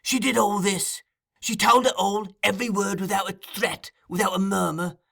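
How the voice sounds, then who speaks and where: A man voicing a cunning, raging old male crime boss in an audiobook, insistent and feverish, building to shouts. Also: very high-pitched, normal speed, creaky, husky, pitched above the performer's own voice, heavily acted. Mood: frenzied. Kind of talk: audiobook character acting.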